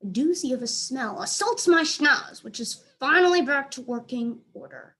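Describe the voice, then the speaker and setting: Woman, somewhat high voice, teacher, expressive children's storybook read-aloud. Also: normal speed. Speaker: teacher reading aloud for elementary students.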